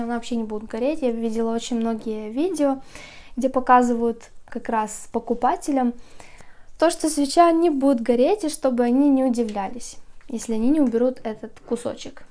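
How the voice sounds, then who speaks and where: Young woman, casual vlogger; sleepy morning voice, high-ish and mellow, chatty with soft laughs. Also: fairly even intonation, normal speed. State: just woken, slightly rough voice. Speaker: candle maker vlogger. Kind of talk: casual how-to vlog.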